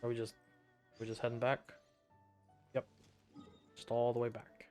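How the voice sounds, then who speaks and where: A man gives casual live commentary as he plays a game. His voice is medium in pitch, with a wry, offhand delivery. Game music plays softly behind him. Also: monotone, moderate pace. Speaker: game streamer.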